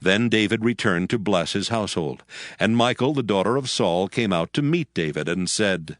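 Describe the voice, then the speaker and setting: A man with a fairly low voice narrates scripture in a steady, formal way. The sound is close and dry. Soft music plays behind him. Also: normal speed, no room sound.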